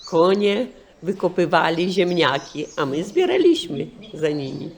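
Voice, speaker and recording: Low-voiced older woman reminiscing. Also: animated, unhurried, creaky, a little mellow, slight background noise. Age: over 60. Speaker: senior sharing memories.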